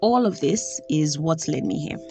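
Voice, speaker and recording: A young Nigerian woman with a Nigerian accent, thoughtfully telling a personal story and pausing to search for words. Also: low voice, lively intonation, creaky, mellow, soft background music.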